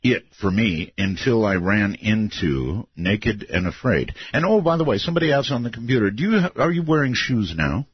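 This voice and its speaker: Deep-voiced older radio host. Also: male voice, expressive, slightly rough, no room sound. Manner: laughs often, drawn-out questions. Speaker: radio talk show host. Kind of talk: late-night radio interview. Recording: muffled lo-fi radio archive.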